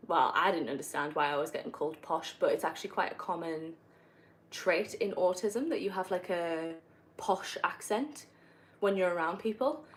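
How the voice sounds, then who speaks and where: A young woman from northeast England with a soft northeastern accent, chatting casually on a livestream while gaming, rambling with many fillers and self-corrections. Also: on the low side. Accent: northeast English, softened. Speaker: livestreamer answering viewer questions. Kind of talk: casual live-stream chat while gaming.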